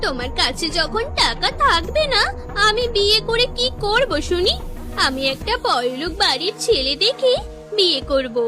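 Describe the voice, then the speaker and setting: A woman's voice: very high-pitched, very animated, normal speed, music in the background. A woman voicing a scheming, greedy young wife in a cartoon, dramatic and whiny, wheedling and haughty. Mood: scornful. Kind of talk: cartoon voice acting.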